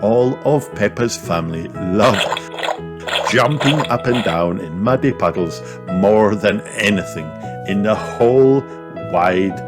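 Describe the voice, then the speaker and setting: A man narrates a story for small children. His voice is fairly low and very slow, with plenty of lively rise and fall in an expressive read-aloud style. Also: over music. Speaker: storybook reader.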